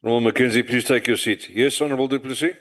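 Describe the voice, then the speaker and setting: A man chairing a parliamentary session, measured and procedural as he calls speakers and handles points of order. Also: mid-range voice, fairly even intonation. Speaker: house chairperson.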